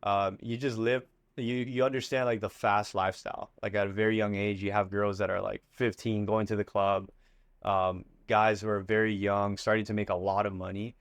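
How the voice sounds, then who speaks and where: A man in his twenties tells his story in an easy, rambling way, dropping fillers as he goes. He grew up in Miami after leaving Ecuador. His voice sits low and sounds dry and close to the mic. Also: moderate pace, no echo. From Ecuador, raised in Miami. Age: 20s. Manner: relaxed, loose, many fillers. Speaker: guest entrepreneur. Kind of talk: casual interview talk.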